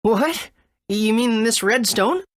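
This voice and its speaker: A woman's voice: a little high, animated, slow pace, dry recording, clean studio sound. A woman voicing an eager, wide-eyed young adventurer boy in a video game. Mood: curious, surprised. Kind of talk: video game character acting.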